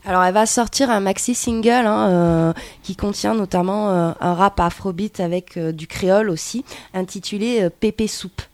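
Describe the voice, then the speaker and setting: A woman presenting on radio, speaking at an everyday middle pitch. She talks haltingly, with many fillers and tags, into a dry, close studio mic. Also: a little mellow, no echo. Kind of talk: radio talk, telling about an artist.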